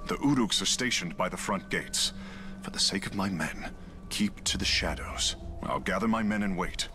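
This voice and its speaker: An actor playing a wry outcast deserter who leads rebels in a video game, gravelly, with a dry, self-deprecating manner. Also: male voice, deep voice, moderate pace, music in the background. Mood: urgent instruction. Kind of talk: video game character dialogue.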